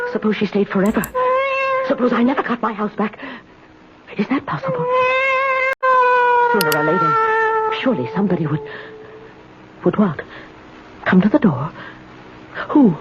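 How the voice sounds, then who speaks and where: A woman's voice: a little high, very animated, moderate pace, long pauses. An actress playing a lonely, put-upon woman in a radio drama, plaintive and hesitant but resentful and protesting. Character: lonely, put-upon timid spinster, resentfully defending her house. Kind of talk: 1970s radio drama acting. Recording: dull, very noisy old radio recording.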